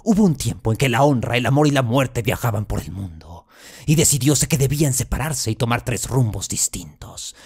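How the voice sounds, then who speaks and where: A man's voice: medium pitch, lively intonation, moderate pace, a little mellow, husky. A man with a Latin American Spanish accent playing a vengeful, anguished duke in a classical verse monologue, solemn and declamatory. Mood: scornful lecturing. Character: vengeful, anguished Jacobean duke, a wronged brother.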